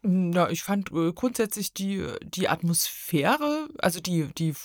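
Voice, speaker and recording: A woman's voice: fairly low pitch, big pitch swings, measured pace, no room sound, clean studio sound. A woman chatting casually as a guest reviewer, thinking aloud and searching for her words.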